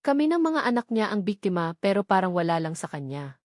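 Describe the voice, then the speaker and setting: A woman's voice: mid-range voice, very animated, brisk, darkish tone, no room sound, studio quality, natural voice. A woman with a Filipino accent voicing an anguished, stubborn young mother in a novel reading. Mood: anguished, worried. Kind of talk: dramatized novel dialogue.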